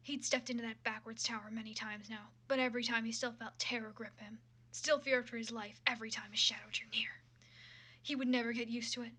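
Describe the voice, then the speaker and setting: A woman with a fairly high, bright and forward voice reads fan fiction aloud. Her delivery is casual and irreverent, mixing the prose with loose, sweary commentary of her own. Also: fairly even intonation, fairly quick, slightly rough. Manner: irreverent, casually profane asides.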